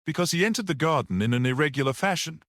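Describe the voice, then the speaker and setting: A man voicing a mild, quietly grave little priest-detective in an audiobook, gentle and matter-of-fact. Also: fairly high pitch, expressive, fast, no echo, clean studio sound, voice pushed up. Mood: mildly whimsical.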